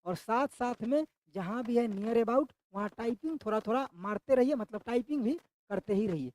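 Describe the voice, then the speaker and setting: A man's voice: very high voice, normal speed, a little mellow, dry recording. A man with a sore throat teaches an online exam-prep class, repeating each question back to his students.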